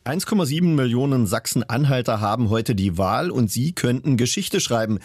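A man's voice: medium pitch, lively intonation, normal speed, darkish tone, dry recording. A man reading a scripted news report as a reporter.

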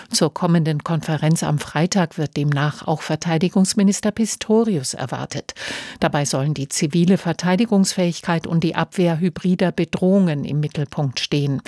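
Low-voiced woman reading radio news. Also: a little mellow, dry recording, studio quality. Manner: measured, neutral. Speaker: newsreader.

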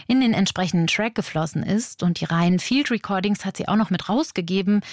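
A woman presenter narrating and summarizing the interview in a clear, explanatory way. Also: medium pitch, fast, dry recording, studio quality. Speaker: radio presenter. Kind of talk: scripted narration.

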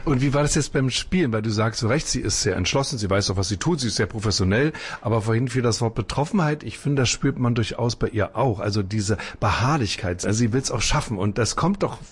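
Quick, husky-voiced male interviewer. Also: medium pitch, expressive. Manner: eloquent, appreciative, long-winded questions. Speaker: interviewer, host. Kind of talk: cultural interview questions.

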